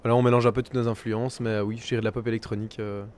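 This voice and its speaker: A young man in his twenties from Belgium talks quickly through his answers in a chatty way. Faint outdoor festival ambience runs behind him. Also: mid-range voice, little pitch movement, darkish tone, a little hiss or hum. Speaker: musician. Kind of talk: festival interview.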